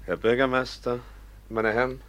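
An actor playing a solemn, protective educator in a radio drama, formal and eloquent. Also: male voice, mid-range voice, flat delivery, moderate pace, fairly bright tone, dry recording, natural voice. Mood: uneasy, polite. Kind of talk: German radio drama acting.

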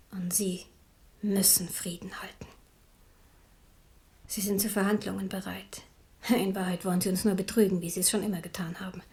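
Radio play role: queen mother female performer, medium pitch, grave, proud and embittered. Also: darkish tone, close to an everyday voice. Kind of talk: German radio play acting.

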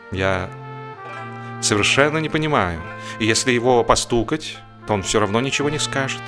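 A man reading a humorous novel as an expressive audiobook narrator, with wry, theatrical phrasing. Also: medium pitch.

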